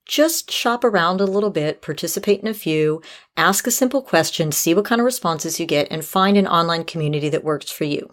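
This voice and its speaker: A woman hosting a podcast in a conversational style, giving advice and talking to listeners. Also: fairly low pitch. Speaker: podcast host.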